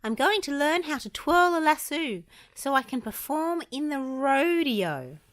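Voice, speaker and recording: An excitable, boastful cartoon dog played by a woman reading aloud from a children's storybook. The voice is pushed high and exclaims eagerly. He is a clumsy would-be cowboy, full of himself. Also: unhurried, no echo. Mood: excited.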